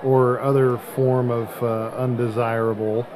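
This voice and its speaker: A middle-aged man hosting a podcast in casual chat, often halting as he searches for words. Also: mid-range voice, little pitch movement, noisy background. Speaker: podcast host.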